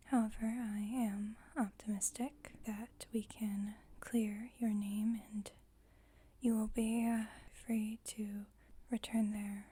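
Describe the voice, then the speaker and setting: A woman playing a composed, formal vampire coven leader in an ASMR roleplay, whispering softly in a measured, instructive, gently wry way. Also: mid-range voice. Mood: reassuring, measured. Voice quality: breathy, whispered, soft.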